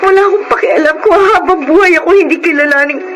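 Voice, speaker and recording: A woman's voice: very high-pitched, quick pace, noisy background. A woman with a Filipino accent playing a remorseful young mother desperate to get her child back, in radio drama style. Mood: anguished, sobbing. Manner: pleading, tearful. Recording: loud dramatic music behind.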